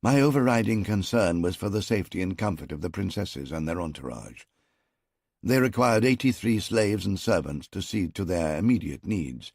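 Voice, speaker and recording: A man narrating an audiobook in the first person, in a measured, wry storytelling manner. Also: low voice, expressive, darkish tone, no room sound, clean studio sound.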